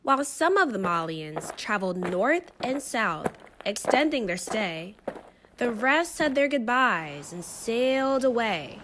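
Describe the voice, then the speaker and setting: A woman reading a children's book aloud as expressive, rhythmic, dramatic storybook narration. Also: fairly high pitch, measured pace, background noise. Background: music.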